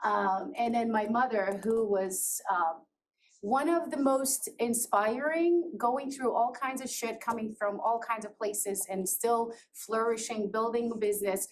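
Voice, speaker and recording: A woman's voice: a little high, a little slow. A middle-aged woman from Azerbaijan, with Georgian as her first language, giving a candid personal talk to an audience, laughing often and restarting her sentences. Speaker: presenter. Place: presentation room. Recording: voice from far off with faint noise.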